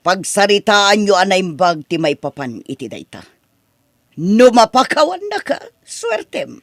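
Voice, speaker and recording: A man with an Ilocano accent playing a protective older mother, gentle with her daughter and stern with her son-in-law, in a radio-style family drama. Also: sits high, sing-song, measured pace, no echo, clean studio sound, exaggerated voice. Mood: stern, reproachful.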